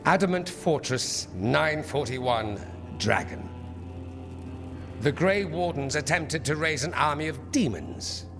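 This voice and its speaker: A man's voice: a little high, lively intonation, slow pace. A man playing a witty, haughty nobleman mage in a fantasy game, with an arch, polished, theatrical delivery. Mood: mocking. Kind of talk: theatrical cinematic game dialogue. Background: music.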